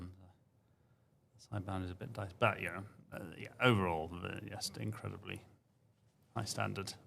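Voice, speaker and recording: A man's voice: on the low side, gravelly, a little hiss or hum. A man giving casual, chatty sports commentary on a livestream, with self-deprecating asides and frequent restarts.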